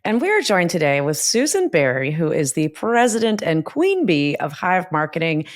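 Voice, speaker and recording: Woman podcast co-host with a deep voice. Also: very animated, moderate pace. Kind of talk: casual interview chat.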